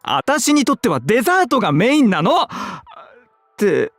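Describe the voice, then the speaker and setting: A man playing an effeminate, chatty, fussy and excitable young man in a Japanese visual novel, with feminine, expressive speech. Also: very high-pitched, fast talker, dry recording, faint music behind, full character voice. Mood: indignant, playful.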